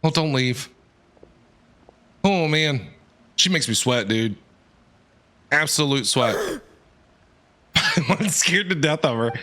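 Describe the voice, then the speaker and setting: Excitable, bright-voiced man streaming. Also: fairly high pitch. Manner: animated, excitable, jokey. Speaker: game streamer. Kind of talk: casual live gameplay reaction.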